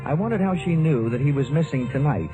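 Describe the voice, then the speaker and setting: An American man playing a cool, flirtatious hardboiled investigator with wry, deadpan delivery in a 1950s radio drama. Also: fairly high pitch, fairly quick, dull audio, over music, close to an everyday voice. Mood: smooth narrating. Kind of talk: 1950s radio detective drama acting, first-person narration.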